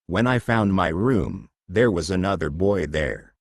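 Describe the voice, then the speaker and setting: A man reading a children's textbook story as scripted narration, in a measured, clear manner meant for learners. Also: deep voice, lively intonation, no room sound, clean studio sound.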